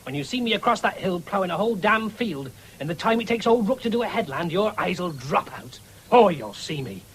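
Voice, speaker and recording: A noisy vintage radio play recording of a cocky young farm lad. He has a high, swooping voice, and pushes his ideas with boastful, cajoling enthusiasm. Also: lively intonation, a little fast, a bit bright. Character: eager, boastful young farmer son, keen on machines. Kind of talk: 1970s British radio drama acting.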